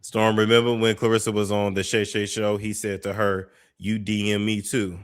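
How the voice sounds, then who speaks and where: A man's voice: on the low side, little pitch movement, normal speed, clear, resonant tone. A man hosting a commentary show, reacting to clips in a gossipy, skeptical way. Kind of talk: reaction commentary on a played clip.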